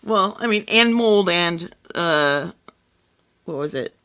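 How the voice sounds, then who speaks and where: Casting: male podcast co-host, higher voice with a bright, forward edge, conversational, thinking out loud. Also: lively intonation, slow, smooth. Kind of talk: casual chat, relaying a science story.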